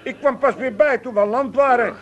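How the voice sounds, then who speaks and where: A man's voice: extremely high pitch, a little fast, muffled recording. An actor playing a grumbling, melodramatic old Amsterdam father in a comic radio play, whining and stammering in bursts. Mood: self-pitying.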